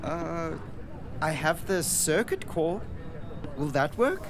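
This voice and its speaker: A man's voice: on the high side, big pitch swings, takes it slowly, exaggerated voice. A man playing an earnest, wide-eyed boy traveler in a radio drama, describing things with awe. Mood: polite, eager. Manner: descriptive, awed storytelling. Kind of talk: radio drama monologue and dialogue. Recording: noisy outdoor and vehicle ambience behind.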